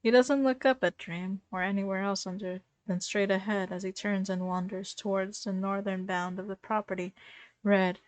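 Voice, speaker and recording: A woman's voice: mid-range voice, steady tone, normal speed, no echo. A woman reading a story aloud informally to friends, giggly and ready to break off and react. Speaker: fan fiction reader.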